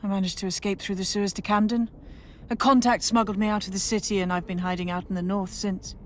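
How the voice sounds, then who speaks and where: A woman's voice: medium pitch, fairly even intonation, quick pace, a little hiss or hum, natural voice. A woman playing a determined, weary resistance leader in a video game, speaking earnestly and persuasively. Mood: grim.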